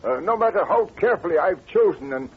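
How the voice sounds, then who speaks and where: Flustered English duke, tinny old radio. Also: male voice, high-pitched, animated, fast, breathy edge, full character voice. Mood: sheepish worry. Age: middle-aged. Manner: stammering, hesitant, repeating himself. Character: flustered aristocratic duke of about fifty-five, anxious, secretive. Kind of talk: 1940s radio acting.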